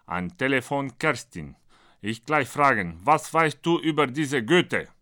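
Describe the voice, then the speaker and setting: A man voicing a blunt, naive Brazilian who talks in choppy, deadpan broken German, performed as a comic monologue. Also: medium pitch, a little slow. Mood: puzzled, deadpan. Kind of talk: comic monologue read from his own book.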